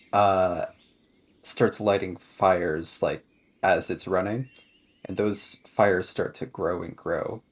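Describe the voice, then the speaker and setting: A man casually narrating a tabletop roleplaying game, pausing to find his words. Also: low-pitched, gravelly. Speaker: tabletop RPG player.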